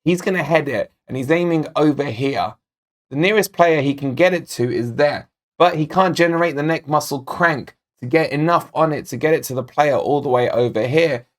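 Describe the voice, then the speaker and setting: A man hosting a football fan channel, talking casually and directly to viewers in a match analysis, his voice still a little croaky. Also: on the high side, normal speed. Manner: chatty, direct, addresses viewers as guys. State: slightly croaky voice. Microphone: desk microphone.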